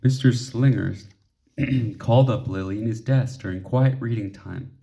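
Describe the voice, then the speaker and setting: A man reading a children's picture book aloud in an expressive storytelling way. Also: medium pitch, a little slow, vocal fry. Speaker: storybook reader.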